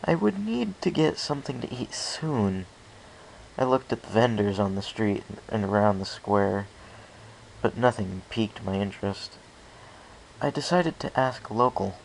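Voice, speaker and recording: Low, gravelly man reading a story. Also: animated, a little slow, poor audio. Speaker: amateur audiobook narrator. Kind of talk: scripted first-person story narration. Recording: faint background hiss.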